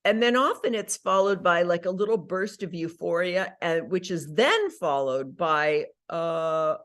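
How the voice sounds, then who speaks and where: A middle-aged woman with a somewhat low voice chats easily in an interview. She talks in a lively, rambling way, peppering her sentences with "like" and restarting her thoughts as she goes. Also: a little slow. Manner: chatty, animated, full of "like" and self-corrections. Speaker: actress and audiobook narrator. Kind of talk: casual interview chat.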